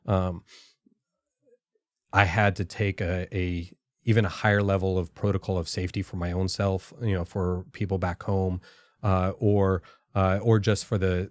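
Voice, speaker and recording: Low-voiced man, careful and halting. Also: no echo, clean studio sound. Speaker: podcast guest, former intelligence officer. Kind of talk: reflective interview answers.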